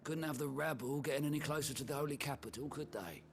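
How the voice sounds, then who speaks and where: An actor playing a blunt, scornful common trader in a fantasy game, with colloquial speech, over heavy background noise. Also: male voice, sits low, lively intonation, normal speed, vocal fry, fairly bright tone, exaggerated voice. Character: scornful, rough common male market trader. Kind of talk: scripted fantasy game acting.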